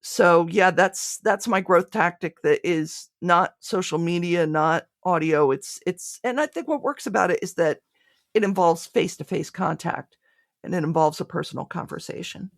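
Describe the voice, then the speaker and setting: A woman's voice: on the low side, normal speed, creaky, dry recording, studio quality. A woman speaking conversationally as a podcast guest, an audio drama creator explaining things in interview answers.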